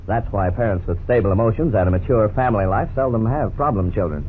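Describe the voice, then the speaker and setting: Man playing a low-voiced, earnest radio lawyer. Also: lightly acted. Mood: earnest, instructive. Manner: measured, didactic, courteous. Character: earnest, authoritative family lawyer explaining the law. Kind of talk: 1950s radio drama acting. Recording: scratchy, tinny 1950s radio recording.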